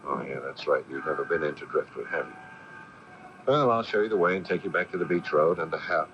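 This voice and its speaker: An actor playing an earnest, concerned sculptor in a radio mystery drama, reasoning hesitantly with a slight stammer. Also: male voice, rumbling low, brisk. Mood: coaxing, hesitant. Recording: muffled, noisy old AM radio broadcast.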